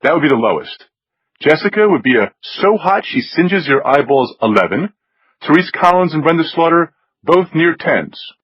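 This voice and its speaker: A man putting on a snooty, high-pitched upper-class snob. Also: very animated, moderate pace, breathy edge, dry recording, muffled recording. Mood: smug, precise. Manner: snooty, drawling, precise diction. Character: haughty, snobbish upper-class man, pampered and dryly cutting. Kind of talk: audiobook character voice.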